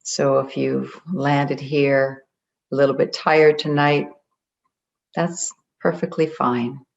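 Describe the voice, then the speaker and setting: A meditation guide leading a group in a gentle, deliberate way, leaving long pauses between phrases. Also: mid-range voice, little pitch movement, vocal fry. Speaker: meditation guide, spiritual coach. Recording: dull, muffled lo-fi audio.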